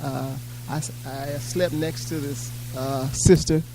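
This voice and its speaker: A man's voice: on the high side, steady tone, slow, dark tone, a little hiss or hum, poor audio. A man telling a story informally to an audience in a plain, matter-of-fact way. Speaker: panel speaker sharing pilgrimage experiences.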